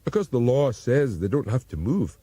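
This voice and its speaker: Man acting a terse, husky young husband. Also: mid-range voice, big pitch swings, moderate pace, dark tone, no echo. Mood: resigned. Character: stubborn, brooding, protective young husband.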